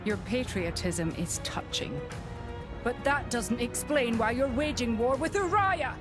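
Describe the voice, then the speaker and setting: An actress playing a stern, dutiful imperial inquisitor in a video game, speaking formally and with authority. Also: female voice, a little high, expressive, a little slow, over music. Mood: stern accusing. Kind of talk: dramatic video game acting.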